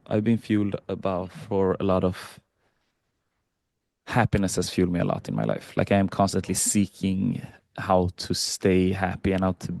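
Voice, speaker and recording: A man from Sweden in a reflective, casual conversation, talking haltingly and repeating phrases as he thinks. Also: deep voice, a little mellow, no echo. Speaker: podcast participant.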